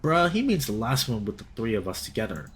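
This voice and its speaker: A man's voice: medium pitch, very animated, brisk, a bit bright. A man voicing a playful young gator in a visual novel, with a slangy, hammy manner. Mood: earnest. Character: young male gator, playful, teasing, mock-heroic friend.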